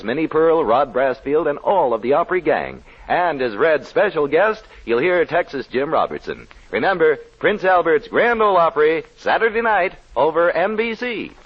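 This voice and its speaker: A man giving a punchy scripted sales pitch as a 1940s radio commercial announcer. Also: husky. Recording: muffled vintage radio recording.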